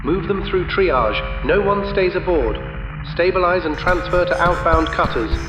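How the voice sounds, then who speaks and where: Muffled, higher-voiced male deck officer, acted. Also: a little slow. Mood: businesslike. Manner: businesslike, procedural. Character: brisk male battleship flight and deck officer. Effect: radio. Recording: muffled radio voice over music.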